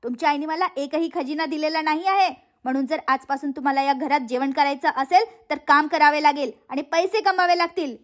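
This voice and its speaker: A woman's voice: sits high, fairly quick, hardly any pauses, close to an everyday voice. A woman voicing a cruel, short-tempered aunt in a cartoon moral story, scolding and threatening. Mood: angry, demanding.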